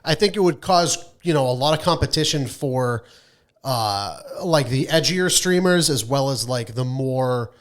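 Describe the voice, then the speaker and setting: Opinionated man with a mid-pitched voice. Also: lively intonation, measured pace. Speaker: podcast co-host. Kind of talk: casual podcast discussion.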